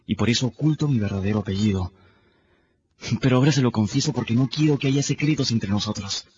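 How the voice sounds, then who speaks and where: A man's voice: medium pitch, fast talker, a little mellow, lightly acted. An actor in a radio drama playing an earnest, principled young émigré nobleman, speaking formally. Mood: earnest confession. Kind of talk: Spanish-language radio drama acting. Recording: dull audio, faint noise.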